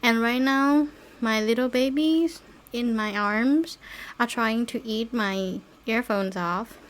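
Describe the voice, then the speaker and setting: Slow, high-pitched woman chatting casually. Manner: non-native English phrasing. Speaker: podcast host. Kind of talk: casual rambling chat, with baby talk to pets.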